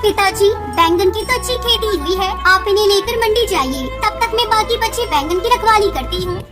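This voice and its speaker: A very high-pitched young female bird from a children's cartoon , acted by a woman. She sounds dutiful and childlike, speaking earnestly and politely. Prominent music plays underneath. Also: moderate pace. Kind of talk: Hindi children's moral-story cartoon dialogue.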